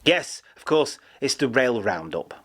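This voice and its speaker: A man's voice: mid-range voice, big pitch swings, a little slow. A man hosting a railway news roundup in a chatty, jokey way, sometimes stumbling over names. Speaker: host of a railway news show. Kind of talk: upbeat news roundup presenting.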